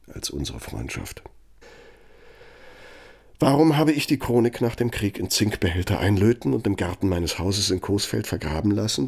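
Radio play: proud older man, low-pitched and husky, bitterly accusing a former friend with deliberate sarcasm. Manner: bitter, sardonic, deliberate, reproachful. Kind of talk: radio drama monologue.

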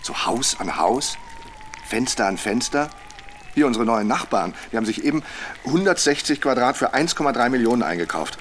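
An actor playing a talkative, sarcastic city husband in a naturalistic radio drama, rambling and mocking. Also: male voice, mid-range voice, animated, moderate pace, fairly bright tone, slight background noise, natural voice. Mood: scornful ranting.

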